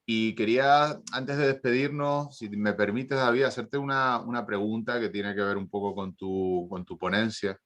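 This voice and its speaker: A man moderating a conference Q&A, asking long, halting questions full of searching pauses. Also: lowish voice, fairly even intonation, a bit bright.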